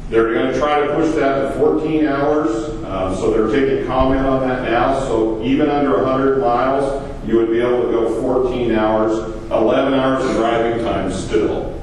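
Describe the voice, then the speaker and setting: A trucking company owner with a big voice, giving a plainspoken, filler-heavy talk at a conference. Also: male voice, medium pitch, moderate pace, few pauses, darkish tone, a little hiss or hum. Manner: plainspoken, loose, with many fillers. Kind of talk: conference presentation. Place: large echoey conference room. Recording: echoey hall, distant.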